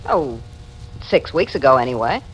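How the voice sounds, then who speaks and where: An actress playing a guarded, resentful grown daughter in a 1940s radio drama, speaking in a curt, defensive manner. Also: female voice, sits low, wildly swooping pitch, moderate pace, vocal fry, exaggerated voice, low-quality recording. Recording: vintage radio recording with music.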